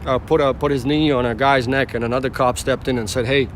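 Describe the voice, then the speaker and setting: A man's voice: mid-range voice, steady tone, brisk, noisy background. A man from the former Yugoslavia chatting casually with livestream viewers from inside a car, rambling, repeating himself and answering the chat. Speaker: rideshare driver livestreaming. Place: inside a car on city streets.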